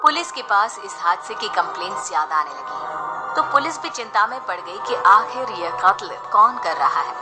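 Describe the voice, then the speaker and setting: Woman narrating over loud music. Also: medium pitch, low-quality recording. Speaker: storyteller. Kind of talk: scripted horror bedtime story narration.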